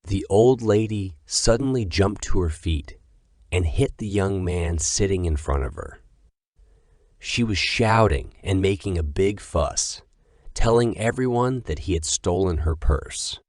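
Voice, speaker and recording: A man's voice: low voice, expressive, unhurried, no room sound, studio quality. A man reading scripted horror story narration.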